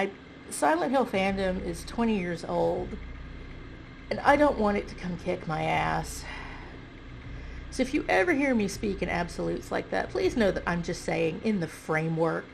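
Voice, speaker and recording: A woman's voice: mid-range voice, vocal fry, slight background noise, poor audio. A woman with a stuffy nose giving casual, self-correcting commentary on a video game, thinking aloud as she goes.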